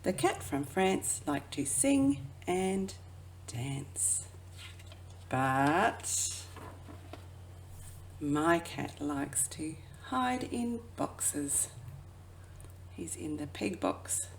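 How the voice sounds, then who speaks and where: A woman reads a storybook aloud for young children. Her voice sits slightly low and has a noticeable creak. She takes her time, stretching out phrases and slipping into hushed asides, over faint background noise. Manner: expressive, drawn-out refrains with hushed asides. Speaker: children's educator reading a picture book.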